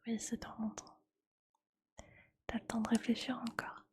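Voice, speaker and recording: A woman speaking in a breathy ASMR whisper, chatting casually and with gentle teasing like a streamer talking to viewers. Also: a little high, monotone, normal speed.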